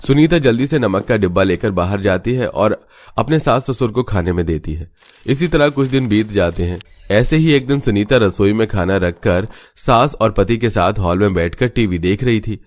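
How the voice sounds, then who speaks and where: Low-voiced male story narrator. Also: animated, moderate pace. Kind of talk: scripted cartoon story narration.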